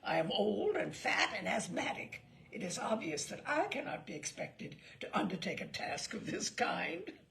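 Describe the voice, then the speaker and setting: Lady reader doing a fat old captain, hearty and pleading, big swoopy delivery, bit creaky, audiobook style. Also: mid-range voice, sing-song, moderate pace, a bit bright, a little hiss or hum. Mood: protesting. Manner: emphatic, declamatory, cajoling.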